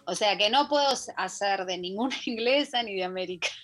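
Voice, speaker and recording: Woman, Argentine accent, middle-aged, radio guest, chatty, halting restarts. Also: medium pitch, expressive, a bit bright. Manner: chatty, many fillers and restarts. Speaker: guest, musical theatre actress. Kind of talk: casual radio interview. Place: radio studio.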